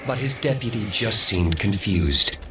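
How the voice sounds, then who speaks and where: An actor playing a haunted, defiant man recounting his past in deliberate, dramatic audio drama narration. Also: fairly low pitch, animated, sharp tone, full character voice. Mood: grim recollection. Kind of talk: dramatic first-person storytelling in audio drama. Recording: distant mic, clear background noise.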